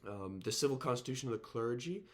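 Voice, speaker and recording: A teacher with a sore throat and a slightly nasal voice, giving a recorded lecture in an informal way, with frequent ums and restarts. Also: male voice, medium pitch, expressive, fast. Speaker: history teacher.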